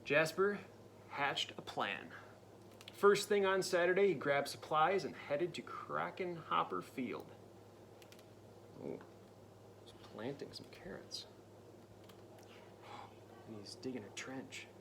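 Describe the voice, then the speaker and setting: Guy doing a spooky storybook read-aloud for a kid, bright voice, goes whispery then loud, real playful. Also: a little high, lively intonation, slow pace, vocal fry, long pauses, a little hiss or hum. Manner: dramatic, drops to spooky whispers and jumps to loud cries; playful asides to the listening child. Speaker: parent reading a picture book aloud to a child.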